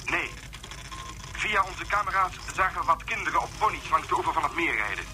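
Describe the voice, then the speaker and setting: An actor in a Dutch radio drama playing an efficient spaceship officer, giving clipped, formal reports over a radio link. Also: male voice, a little high, animated, a little fast, sharp tone. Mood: businesslike.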